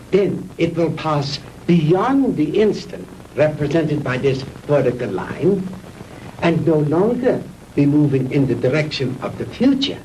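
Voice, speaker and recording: An actor playing a proud, vengeful mad scientist in a 1960s B-movie, lecturing pompously with theatrical pauses on an old film soundtrack. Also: male voice, on the high side, a little mellow, distant mic, a little hiss or hum, poor audio. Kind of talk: 1960s B-movie sci-fi acting.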